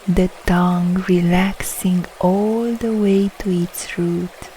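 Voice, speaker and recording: Female meditation guide, lowish relaxed voice, soothing phrases, light trickling noise behind. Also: poor audio. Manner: soothing, unhurried, soft short phrases with long pauses. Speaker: yoga nidra meditation guide.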